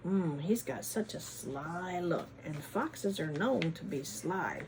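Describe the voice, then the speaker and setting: A woman reading a picture book aloud to children in a teacherly way, pausing to ask them questions and adding dramatic emphasis. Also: lowish voice, animated, background noise. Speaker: children's story reader, former teacher. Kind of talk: animated picture-book read-aloud with chatty asides to children.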